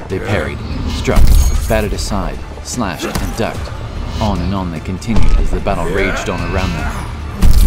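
A man reading scripted fantasy narration in a dramatic storytelling style. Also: sits low. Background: prominent music.

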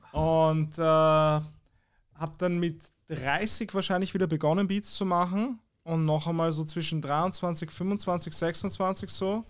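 A man in his late thirties with a lilt and a voice pitched a little higher than most men's. He talks in a reflective, rambling way and chuckles now and then. He is recorded close on a boom-arm podcast mic in a small studio room. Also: steady tone, unhurried, clear, resonant tone. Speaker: beat producer guest. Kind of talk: casual podcast interview. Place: small studio room with posters, seated at table.

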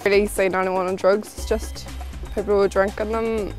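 A woman's voice: medium pitch, flat delivery, normal speed, soft background music. A young woman, speaking offhandedly and with hesitation in a documentary interview. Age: young adult.

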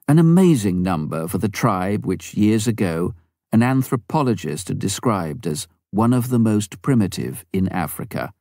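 A man reading a first-person memoir aloud as an audiobook narrator, in a measured, earnest manner. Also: sits low, warm tone, dry recording, clean studio sound. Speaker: audiobook reader.

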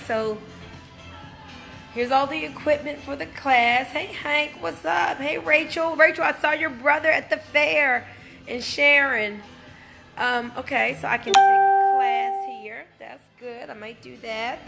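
A woman's voice: a little high, creaky, a bit bright. A middle-aged woman chatting casually and enthusiastically to live viewers on a handheld phone in an indoor gym. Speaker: livestream host, wellness business promoter. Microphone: handheld phone at arm's length.

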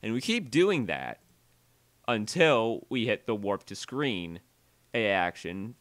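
A man narrating a software tutorial voiceover, halting and often repeating or correcting himself as he explains. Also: lowish voice, sing-song, no room sound.

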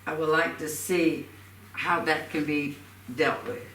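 A man speaking as a council member at a public meeting, halting and repeating himself as he searches for words. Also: fairly high pitch, fairly even intonation, sharp tone. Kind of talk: informal public meeting discussion. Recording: echoey room, distant mic, faint noise.

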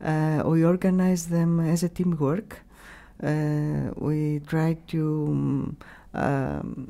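A middle-aged woman with a Greek accent, giving halting, unscripted interview answers as she searches for words. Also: sits low, mellow. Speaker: radio station staff member, interviewee. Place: small room, radio studio. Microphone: studio mic on boom arm.

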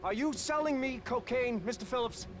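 An actor playing a nervous, over-friendly undercover cop posing as a drug buyer in a video game, sounding eager and stilted. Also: male voice, very high voice, moderate pace. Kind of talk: video game cutscene acting.

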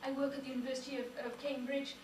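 Welsh woman, lecturer, measured delivery, room hum behind, distant echoey recording. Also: high-pitched, level tone. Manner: measured, lists names with careful emphasis. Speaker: university lecturer. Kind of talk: public lecture. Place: darkened lecture hall. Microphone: far room recording. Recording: echoey hall recorded from afar.

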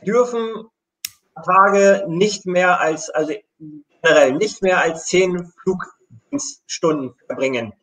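A man's voice: high-pitched, slow, fairly bright tone, rough recording. A middle-aged man explaining things step by step on a live video call, as an air rescue station manager. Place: room at home.

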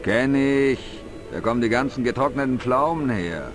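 A man's voice: medium pitch, animated, moderate pace, lightly acted. An actor playing a simple, gullible, talkative village man in an adventure game, with naive, colloquial speech. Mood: naive curiosity. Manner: naive, colloquial, exaggerated swings of emphasis. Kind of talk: dubbed adventure game dialogue. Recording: music behind the voice. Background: game music.

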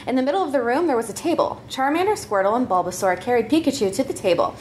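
Animated, high-voiced woman reading a story. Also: fast talker, a little hiss or hum. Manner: playful, giggly, breaks character. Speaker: troupe member reading own comedy story. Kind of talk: live comedic story reading, narration.

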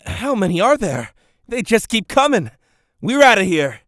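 Guy doing a goofy playful game adventurer, highish voice, really animated, music in back. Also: normal speed. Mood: excited. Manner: playful, theatrical. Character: goofy, cheerful man fighting dragons, game hero. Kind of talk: video game fan dub acting.

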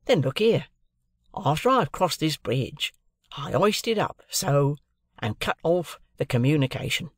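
A woman's voice: low-pitched, sing-song, measured pace, breathy edge, dry recording, full character voice. A woman voicing a proud, shrewd, eccentric male clerk in an audiobook, with emphatic, confiding, colloquial talk. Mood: proud, eager. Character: chirpy, proud middle-aged London clerk, man, shrewd and eccentric.

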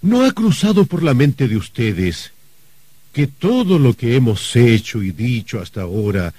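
An actor playing a mocking, menacing middle-aged uncle in a melodramatic radio drama, husky and theatrical, with halting, sardonic delivery. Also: male voice, mid-range voice, very animated, warm tone, dry recording. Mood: mocking, ominous. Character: gleeful, mocking, menacing uncle, a murdered novelist host.

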